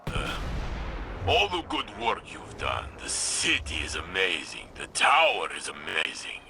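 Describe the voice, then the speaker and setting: An actor playing a proud, teasing, blunt old-soldier warrior in a video game cutscene, with an emphatic, halting delivery. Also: male voice, low voice, wildly swooping pitch, vocal fry, background noise, full character voice. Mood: jovial, proud. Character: gruff, teasing, proud veteran male warrior, jovially blunt.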